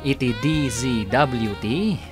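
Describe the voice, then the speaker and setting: A man's voice: medium pitch, slow pace. A man announcing a radio drama in a scripted narration style. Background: music.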